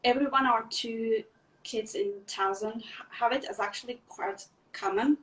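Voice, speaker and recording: A woman's voice: a little high, normal speed, rough recording. A woman in her thirties from Turkey, for whom Turkish is the first language, answering interview questions in a casual, hesitant way with many fillers and restarts. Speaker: guest dancer.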